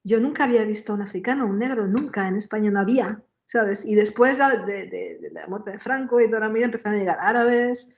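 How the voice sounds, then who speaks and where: A woman's voice: medium pitch, quick pace, few pauses, highs cut off. A woman in her sixties with a Madrid Spanish accent, chatting casually as an interview guest and often tagging her phrases with little questions. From Madrid, Spain. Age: 60s. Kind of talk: casual conversation. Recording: roomy echo on voice.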